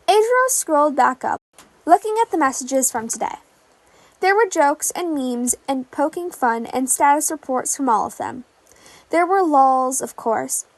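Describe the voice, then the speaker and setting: Female story narrator, very high register, animated intonation, dry recording. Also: dry recording. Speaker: podfic reader.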